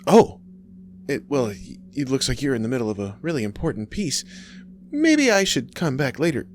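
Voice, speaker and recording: A man's voice: medium pitch, sing-song, normal speed, a little hiss or hum, voice pushed up, close to an everyday voice. A man voicing a nervous, gushing young male admirer in his early thirties, stammering politely in a horror story. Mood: alarmed, polite.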